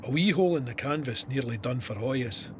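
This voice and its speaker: An actor as a Scottish soldier recounting war. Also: male voice, medium pitch, lively intonation, fast talker, background noise, close to an everyday voice. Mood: reflective. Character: soldier Sandy recounting his Gallipoli service, plain-spoken and vivid. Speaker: narrator. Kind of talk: scripted first-person war memoir narration.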